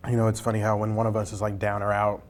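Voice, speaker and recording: A man's voice: on the low side, completely flat delivery, racing, a little hiss or hum. A man hosting a casual, jokey interview with informal, colloquial phrasing. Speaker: interview host, library information desk worker. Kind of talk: casual chat during a hot sauce challenge interview.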